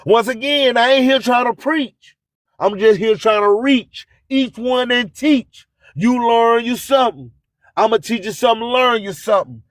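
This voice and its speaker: A man's voice: very high voice, moderate pace, no room sound. A man hosting a radio show, delivering a preachy, emphatic spoken-word motivational monologue full of repeated catchphrases. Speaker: radio host.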